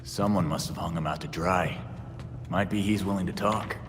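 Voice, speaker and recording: A man playing a calm, deep-voiced hero. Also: normal speed, lightly acted. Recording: cutscene with reverb and noise.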